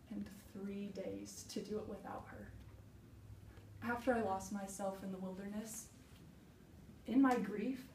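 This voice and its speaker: Young woman acting a grieving, slow-spoken woman hiker, echoey. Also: medium pitch, dark tone, natural voice. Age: young adult. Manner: slow, deliberate, emphatic phrases with heavy pauses. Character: grieving, self-searching woman hiker, raw and resolute. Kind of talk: dramatic stage monologue. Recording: roomy echo, distant mic, faint noise.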